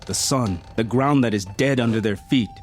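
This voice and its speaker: An actor playing a gentle, fatalistic condemned prisoner in a Western radio drama. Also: male voice, mid-range voice, moderate pace, faint music behind, lightly acted. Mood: resigned, gentle. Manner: soft, fatalistic, philosophical. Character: resigned, gentle Mexican prisoner awaiting hanging.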